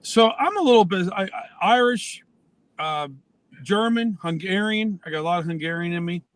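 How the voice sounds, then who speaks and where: A man's voice: high voice, expressive, moderate pace. A man in a casual panel chat, rambling and trailing off mid-thought.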